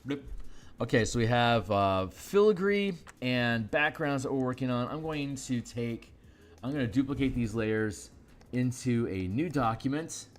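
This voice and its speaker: A middle-aged man with a deep, tired voice, rambling casually with fillers while he streams his illustration work. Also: expressive, unhurried, slight background noise. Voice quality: deep, low voice. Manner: rambling, with frequent fillers and restarts. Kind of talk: casual live-stream talk while working.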